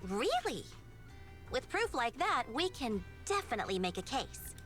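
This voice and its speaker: Brash, high, lively young schemer. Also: female voice, a little slow, exaggerated voice. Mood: sly, confident. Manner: boastful, teasing, theatrical. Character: brash, scheming young female leader of a mercenary crew. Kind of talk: anime-style video game voice acting. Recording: music behind the voice. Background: background music.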